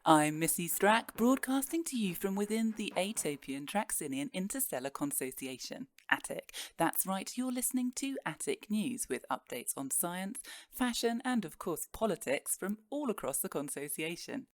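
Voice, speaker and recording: Woman acting interstellar newsreader, announcerly, lowish pitch, dry studio-like sound, scripted promo. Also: sing-song, normal speed, no room sound. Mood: upbeat. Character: polished female interstellar news broadcaster.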